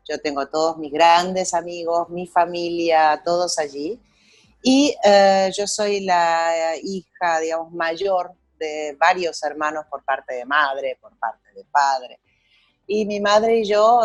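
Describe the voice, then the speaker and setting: An Argentine woman in middle age speaks as a guest in an interview. She tells her life story in a relaxed, reflective way, with frequent pauses and hesitations while she gathers her memories. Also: low-pitched. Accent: Argentine Spanish. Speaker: guest photographer. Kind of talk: conversational interview over a call.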